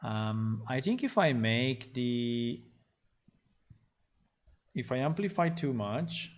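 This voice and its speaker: A man, a game developer, talking casually on a live stream as he works, thinking aloud and halting often with fillers. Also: mid-range voice, expressive. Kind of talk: casual live-stream talk while working.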